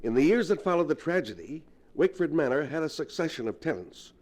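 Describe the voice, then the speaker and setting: An actor playing a grave, learned doctor of the supernatural, telling dark history in a measured, ominous 1940s radio manner. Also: male voice, fairly high pitch, very animated. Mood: grave, explaining.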